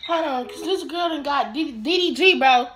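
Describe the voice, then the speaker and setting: A young American woman chatting casually in an animated, brash way, swearing heavily as she banters with friends. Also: sits high, normal speed, roomy sound, background noise. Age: young adult. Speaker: vlogger. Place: small home room.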